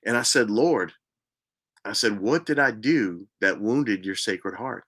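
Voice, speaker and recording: A man earnestly telling a personal spiritual story as host, repeating himself for emphasis and sometimes dropping to a hushed voice. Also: fairly low pitch, lively intonation, unhurried. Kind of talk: personal testimony monologue.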